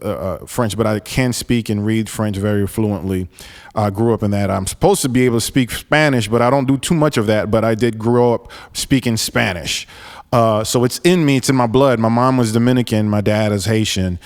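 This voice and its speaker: A man in his forties from New York hosting a solo podcast, talking earnestly and haltingly with frequent restarts and fillers. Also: mid-range voice, big-room sound. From New York, raised in Florida. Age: 40s. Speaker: podcast host.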